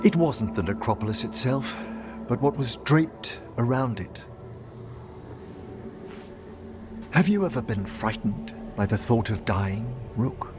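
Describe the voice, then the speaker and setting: An actor playing a courteous, scholarly, melancholy older necromancer mage in a video game, speaking formally and theatrically. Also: male voice, medium pitch, expressive, unhurried, noisy background, lightly acted. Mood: haunted, confiding.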